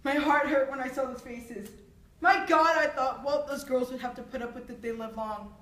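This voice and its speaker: A young woman performing a theatrical monologue as an anguished, philosophizing army officer and father, declaimed with dramatic pauses. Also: high-pitched, fairly even intonation, a bit bright. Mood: agitated, anguished. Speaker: student actor. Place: echoey room, far from the microphone. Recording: roomy echo, distant microphone.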